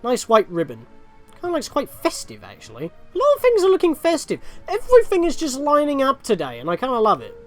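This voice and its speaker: A man gives casual gaming commentary as the host of a video. His pitch moves around in a very animated way while he rambles through chatty asides. Faint music plays behind his voice. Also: brisk. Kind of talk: casual let's play commentary.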